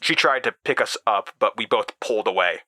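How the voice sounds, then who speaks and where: An actor playing an anxious, rattled man who haltingly recounts eerie memories, stammering and interrupting himself, in a horror audio drama. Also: lowish voice, expressive, bright, forceful tone. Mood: uneasy recounting. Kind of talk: first-person horror audio drama monologue.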